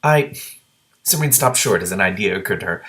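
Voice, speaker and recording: Male book reader, low pitch, excitable, sweary asides between narrated passages. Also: fast talker. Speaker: reader and commentator. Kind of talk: reading a book aloud with reactions.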